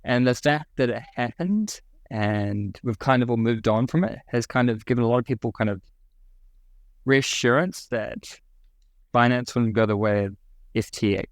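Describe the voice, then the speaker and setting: A man's voice: medium pitch, moderate pace, no echo, clean studio sound. A man from New Zealand with a New Zealand accent, a podcast guest answering questions casually, with many fillers and restarts.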